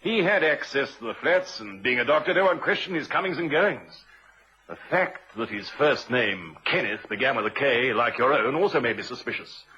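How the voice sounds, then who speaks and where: An actor playing a shrewd, unhurried police inspector in an old-time radio drama, a man with a measured, probing, quietly assured manner. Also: medium pitch, lively intonation. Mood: explaining. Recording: muffled vintage radio recording.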